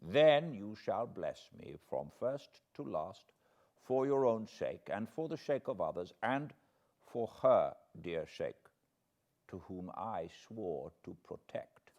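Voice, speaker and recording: A man playing a grave, kindly old foreign professor in an audiobook, speaking gently and solemnly in halting phrases. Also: on the low side, sing-song, muffled recording, full character voice. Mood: grave, earnest.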